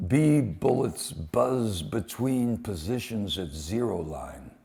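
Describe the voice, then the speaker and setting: A man giving a dramatic live poetry reading, delivering short phrases deliberately with weighted pauses. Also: on the low side, expressive, gravelly, mellow. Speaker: poet reading translated war poems at a poetry event.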